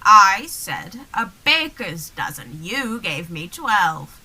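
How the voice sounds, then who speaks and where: A teenage actress playing a poor, stubborn old woman in a high school radio play, declaiming insistently and theatrically. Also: sing-song, takes it slowly, pushed and bright, breathy edge, heavily acted. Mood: indignant.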